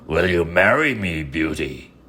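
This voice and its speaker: Raspy ugly beast done by a guy reading a fairy tale, forceful, deep, bossy but lovesick. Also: big pitch swings, unhurried, faint music behind, exaggerated voice. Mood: pleading. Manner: theatrical, emphatic. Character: ugly male beast, commanding yet lovesick.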